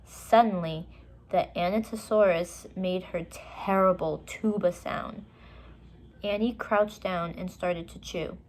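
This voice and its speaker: A woman reading a children's story aloud, with expressive, deliberate and dramatic narration. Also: lowish voice, rough recording.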